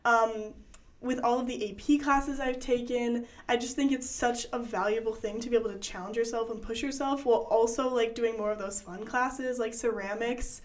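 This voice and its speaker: A woman's voice: on the high side, steady tone, normal speed. A teenage girl, a graduating student, answering interview questions fluently and with enthusiasm, with frequent "um" and "like". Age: teens. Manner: upbeat, fluent, many fillers.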